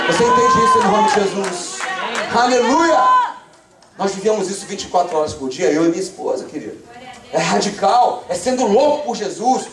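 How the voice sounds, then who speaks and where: A young Brazilian man with a Rio de Janeiro accent, preaching a fervent revival sermon with call-and-response and bursts of shouting. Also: sits high. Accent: Rio de Janeiro Brazilian Portuguese. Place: church sanctuary. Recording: echoey church from far off.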